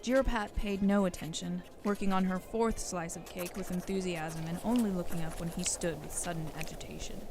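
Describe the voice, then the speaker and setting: A woman's voice: fairly low pitch, steady tone, a little fast, hardly any pauses. A woman narrator reading a scripted audio story. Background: music.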